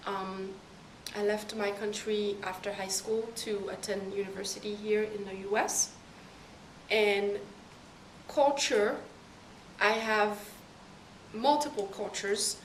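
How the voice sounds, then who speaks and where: Young woman, thoughtful pauses, far from the mic, echoey room. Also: medium pitch, fairly even intonation, slight background noise. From Caribbean. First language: French. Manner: thoughtful, pausing between phrases. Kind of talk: interview answers.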